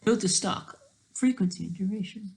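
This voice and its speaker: A person thinking aloud in casual, rambling, digressive commentary as a video creator, with a creaky voice. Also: mid-range voice, moderate pace, a little mellow.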